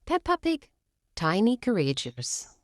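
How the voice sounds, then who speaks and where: A woman reading a children's story aloud as an expressive storyteller. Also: mid-range voice, a little slow, no echo, studio quality. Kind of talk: animated read-aloud story narration.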